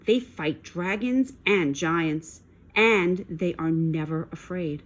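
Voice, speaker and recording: A woman's voice: fairly low pitch, slow. A woman voicing an anxious male toad in a storybook, boastful but easily frightened, with an emphatic delivery. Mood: doubtful.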